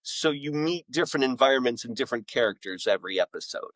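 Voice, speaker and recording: Male interview guest, medium pitch, conversational and discursive, recorded dry. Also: animated, fast, a bit bright, no room sound, rough recording. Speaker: interview guest, author and audio drama maker.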